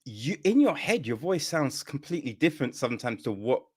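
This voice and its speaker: A man's voice: medium pitch, fairly quick, slightly rough. A man hosting a podcast interview, telling stories casually and acting out the quoted lines with lots of energy. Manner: animated storyteller acting out quoted dialogue. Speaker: podcast host.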